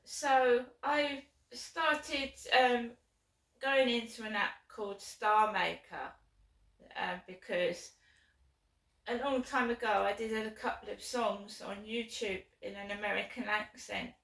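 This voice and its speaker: A woman vlogs alone from her flat, her throat a little sore as she rambles slowly through a grievance with plenty of ums. Her voice rings around a bare, echoey room. She sits some way back from the mic. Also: a little high, distant mic. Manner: rambling, aggrieved, with many ums. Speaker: vlogger. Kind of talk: casual chat to camera. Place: small flat, indoors.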